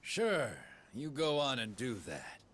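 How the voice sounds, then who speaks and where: A man's voice: medium pitch, sing-song, slow pace, fairly bright tone, exaggerated voice. An actor playing a menacing pirate gang boss, a man who taunts and leers with mock politeness in a video game. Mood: leering, mocking. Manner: taunting, leering. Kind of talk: video game villain acting. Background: faint game music.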